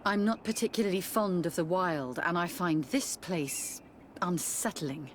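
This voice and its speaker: An actress playing an uneasy, pious nun with a formal, wary manner in a video game. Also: female voice, fairly low pitch, a little slow, slight background noise, lightly acted. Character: uneasy, pious investigating nun, adult woman. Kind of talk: video game cutscene acting.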